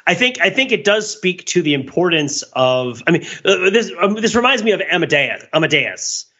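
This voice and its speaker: A man's voice: high-pitched, very animated, normal speed, bright, forceful tone, muffled recording. A man speaking as a podcast panelist, musing tentatively and conversationally as he thinks his points through. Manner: tentative, musing, chuckles through some phrases. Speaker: podcast panelist discussing film. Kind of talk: casual chat, musing commentary.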